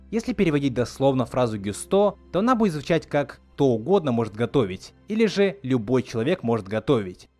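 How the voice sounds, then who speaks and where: A man's voice: medium pitch, a little mellow. A man narrating a scripted video essay as a host, with a commentator's delivery. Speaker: video host reviewing film dubbing. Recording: voice-over with music underneath. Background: background music.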